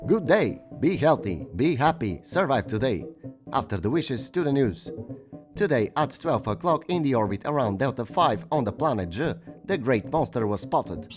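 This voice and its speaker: A man acts a formal future news reporter in a radio play. He declaims his bulletin in a medium-pitched male voice, with clear music underneath. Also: big pitch swings, moderate pace, lightly acted. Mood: formal, announcing. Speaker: actor in an audio drama. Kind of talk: news bulletin reading in audio drama.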